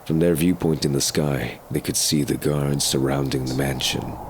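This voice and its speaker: A man narrates an audio drama in an extremely low voice. There is clear noise running behind his scripted storytelling. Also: fairly even intonation, normal speed. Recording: clear background noise under voice.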